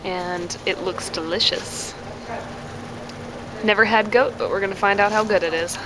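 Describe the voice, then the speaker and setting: A woman's voice: medium pitch, moderate pace. A woman narrating a travel clip on location, giving simple, curious commentary. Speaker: travel vlogger. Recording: noisy on-location travel recording.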